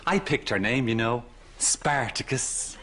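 A man playing a sentimental, excitable grown-up son in a sitcom, with a gushing manner. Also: mid-range voice, a little slow, slight background noise. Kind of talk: studio sitcom acting.

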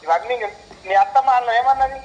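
A man's voice: extremely high pitch, expressive, fast talker, darkish tone, lo-fi sound. A middle-aged man with a Telangana accent talking casually to younger relatives over the phone. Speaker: older relative on the call. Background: clear noise.

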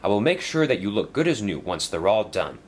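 A man voicing a calm male railway boss in a measured, reassuring way. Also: on the low side, a little hiss or hum.

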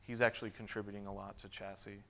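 A man's voice: fairly low pitch, fast. Man answering questions on a conference stage. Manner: relaxed, many fillers and restarts. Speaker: conference keynote speaker. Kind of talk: audience Q&A at a conference. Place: large conference hall stage. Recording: long hall reverb.

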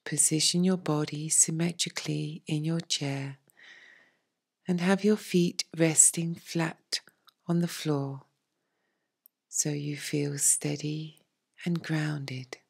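Slow, low, breathy woman guiding meditation. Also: steady tone. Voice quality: soft, breathy, near-whispered. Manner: soothing, hushed, measured phrasing with long pauses. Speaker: yoga nidra meditation teacher. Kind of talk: guided meditation narration.

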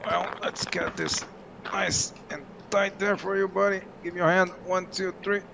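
Game roleplay: breezy, mischievous medic, male player, creaky voice, a little background noise. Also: high voice, level tone, moderate pace. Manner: breezy, familiar, mock-polite. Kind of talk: online roleplay game acting.

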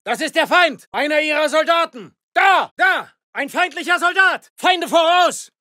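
Forceful, shouting German soldier. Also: male voice, very high voice, animated, measured pace, dry recording, clean studio sound, exaggerated voice. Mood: urgent alarm. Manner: urgent, forceful, barked short battlefield shouts. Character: gruff, foul-mouthed German WWII elite soldier. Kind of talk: video game combat barks.

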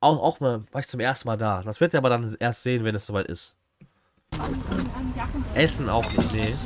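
A man's voice: medium pitch, a little fast, heavy background noise. A man, chatting casually as a streamer and talking straight to his viewers in slang. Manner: slangy, greets and answers chat directly. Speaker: streamer reacting to a flea market video. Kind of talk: casual livestream chat and reaction. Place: small indoor room, streaming setup.

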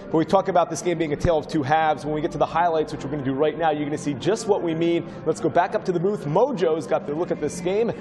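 A man's voice: a little high, quick pace, few pauses, long reverb tail. A man hosting a postgame studio show, steering questions to the panel. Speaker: studio host.

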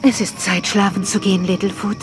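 A woman's voice: mid-range voice, flat delivery, brisk, over music, lightly acted. A woman playing a caring, solemn old grandmother dinosaur in a children's audio drama. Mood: gentle, resigned.

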